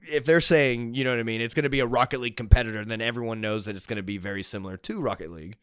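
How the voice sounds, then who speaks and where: Clear-voiced young man, casual co-host. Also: mid-range voice, rapid-fire, a bit bright, no echo, clean studio sound. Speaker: co-host of a gaming and film news show. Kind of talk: casual chat about entertainment news.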